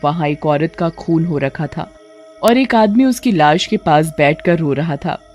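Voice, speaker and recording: Lively storyteller over faint music. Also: mid-range voice, measured pace. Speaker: storyteller, narrator. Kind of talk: scripted horror story narration.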